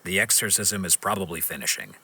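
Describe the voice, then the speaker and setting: Sharp-toned reflective swordsman. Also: deep voice, big pitch swings, creaky, slight background noise, full character voice. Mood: wistful, reflective. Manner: measured, solemn storytelling. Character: sombre, reflective male wandering swordsman recounting his past. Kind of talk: video game voice acting.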